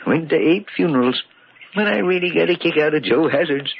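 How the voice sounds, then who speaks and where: A man's voice: on the high side, expressive, brisk, creaky. Give a folksy old man from a 1940s radio drama, rambling along slyly and boastfully, with loneliness underneath. The recording is muffled and full of hiss and clicks. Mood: boastful, gleeful. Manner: folksy, rambling, chuckling to himself.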